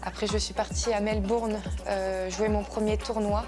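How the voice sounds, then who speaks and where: A woman's voice: mid-range voice, level tone, moderate pace. A woman in her twenties from France, a tennis player telling her story in a reflective documentary interview. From France, arrived from Ukraine at age two. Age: 20s. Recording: music bed under the voice.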